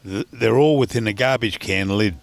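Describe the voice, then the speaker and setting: A man with an Australian accent doing relaxed, chatty live commentary on a bowls match, full of fillers and laughter. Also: fairly low pitch, moderate pace, creaky, no room sound. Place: outdoors at a bowls green.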